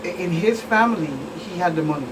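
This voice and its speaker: A woman recounting cases conversationally as a guest expert, in a halting, deliberate way. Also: lowish voice. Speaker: police commander, guest expert. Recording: distant mic, clear background noise.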